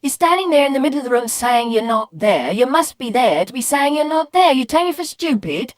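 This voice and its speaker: Man putting on a scolding, exasperated mother, raised pitch. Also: sing-song, slow pace, no echo, studio quality, full character voice. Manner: emphatic, scolding. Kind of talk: comic storytelling.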